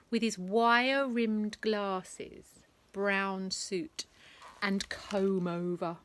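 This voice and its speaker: A woman reads a bedtime story aloud to children, her voice bright and crisp. She takes an unhurried pace and leans into the drama, with playful asides along the way. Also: mid-range voice. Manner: lively, dramatic storytelling with big emphasis and asides.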